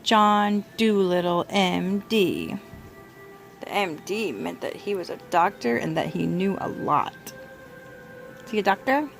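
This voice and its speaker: Young woman, creaky voice, storybook read-aloud, motherly, gentle prompting of a child, light background music. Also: on the low side, measured pace. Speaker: mother reading to her toddler.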